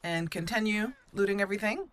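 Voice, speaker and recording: A woman's voice: mid-range voice, brisk, a bit bright, a little hiss or hum. A woman giving casual commentary as she plays and roleplays through a fantasy video game. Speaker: gaming channel host, player. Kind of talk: casual let's play commentary with in-character roleplay.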